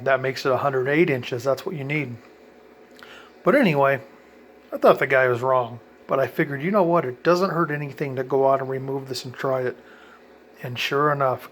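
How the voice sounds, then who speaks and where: A man's voice: medium pitch, a bit bright, slight background noise. A man in a casual how-to vlog, chatting about his radio setup with lots of ums and self-corrections. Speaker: hobby radio vlogger.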